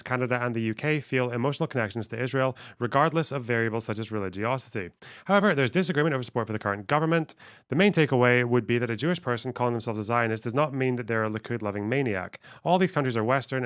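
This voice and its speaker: Smooth-voiced man streaming commentary. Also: medium pitch, a bit bright, no echo, studio quality. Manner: reads aloud and muses, swears offhandedly. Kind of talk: casual livestream commentary.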